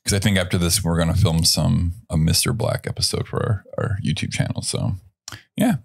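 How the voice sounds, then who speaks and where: A man hosting a casual bartending podcast, his voice raspy after little sleep. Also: low-pitched, steady tone, a little fast. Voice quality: gravelly. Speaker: podcast host. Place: Iowa.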